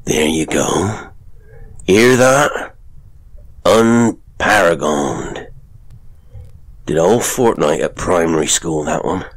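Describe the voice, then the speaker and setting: A man voicing a sardonic, rough middle-aged sideshow owner who talks in a coarse, mocking drawl. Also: low voice, animated, slow pace, long pauses, full character voice.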